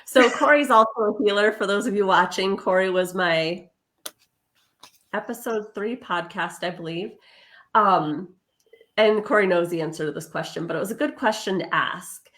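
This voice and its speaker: A woman's voice: medium pitch, moderate pace, fairly bright tone. A woman hosting a casual spiritual podcast, chatting with a guest and explaining terms to viewers over a livestream. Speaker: podcast host. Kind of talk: casual spiritual interview chat. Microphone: livestream video call.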